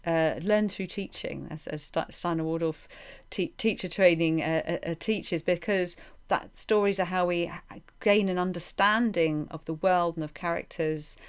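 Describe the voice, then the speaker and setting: A woman's voice: lowish voice, moderate pace, few pauses, smooth. A woman hosting a reflective interview, feeling her way through her phrases with repeated words and roundabout wording. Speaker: interviewer, podcast host.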